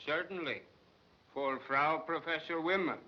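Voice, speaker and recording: A man's voice: a little high, a little slow, natural voice. An actor playing a teasing, matter-of-fact man at a school, in vintage film style. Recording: muffled vintage film sound.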